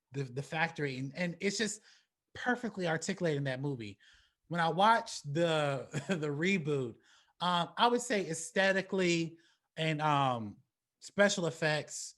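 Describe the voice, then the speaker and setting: A man with a slightly high voice hosts a casual film discussion. He speaks in loose, slangy, emphatic phrases with some swearing, often stuttering over a word and repeating it before he gets to his point. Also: fairly bright tone. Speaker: talk show host. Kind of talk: casual movie commentary talk.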